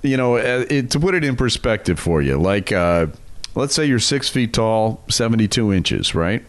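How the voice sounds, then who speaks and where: Podcast co-host: American man, middle-aged, low animated delivery, teasing storyteller, dry sound. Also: normal speed, dry recording. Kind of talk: casual comic storytelling.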